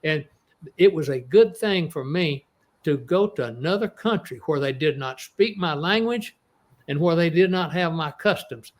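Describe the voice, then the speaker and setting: A man's voice: on the high side, animated, normal speed. A man in his eighties with an East Texas accent, an author telling stories in an interview, rambling with many restarts. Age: 80s. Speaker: author guest. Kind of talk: casual interview storytelling.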